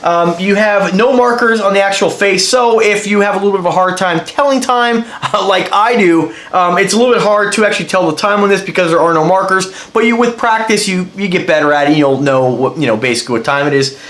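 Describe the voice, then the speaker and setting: Man, congested, high voice, rambling enthusiastic reviewer, echoey room. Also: a little fast, few pauses, fairly bright tone. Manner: rambling, enthusiastic, explains as he handles the item. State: congested with a cold. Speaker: watch reviewer. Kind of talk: casual product review.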